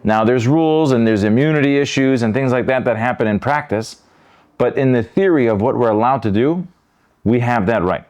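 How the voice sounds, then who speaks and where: A man's voice: medium pitch, a little fast, a little mellow, highs cut off. American man in casual discussion. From Detroit, United States. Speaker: podcast host, lawyer.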